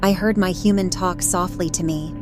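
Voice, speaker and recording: A woman voicing a devoted, perceptive pet cat, telling a story in a measured, earnest way. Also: fairly low pitch, steady tone, lightly acted. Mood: loving, concerned. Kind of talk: first-person dramatic story narration. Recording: narration over prominent music.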